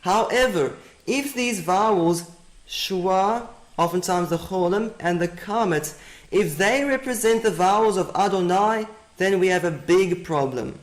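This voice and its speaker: A man's voice: high voice, lively intonation, measured pace. A man whose first language is Afrikaans, giving a methodical teaching presentation and repeating his key points. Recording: roomy echo.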